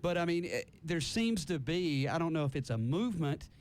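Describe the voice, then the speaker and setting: A man's voice: very animated, normal speed. A man hosting a live band interview on college radio, talking in an effusive, rambling, informal way. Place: radio station, live broadcast. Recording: faint rumbling background noise.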